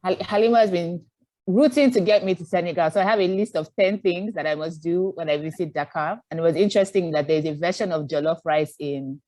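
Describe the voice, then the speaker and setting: A woman hosting a webinar panel, lively and enthusiastic as she moves between guests. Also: lowish voice, a little fast, no room sound, low-quality recording. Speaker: webinar host. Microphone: video call.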